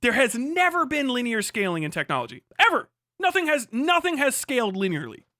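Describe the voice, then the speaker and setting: Guy streamer ranting off the cuff, kinda high bright voice, really hammers his points, swears a lot. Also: sing-song, brisk, no echo, clean studio sound. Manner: emphatic, repetitive, profane. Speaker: livestreamer. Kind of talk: casual off-the-cuff rant.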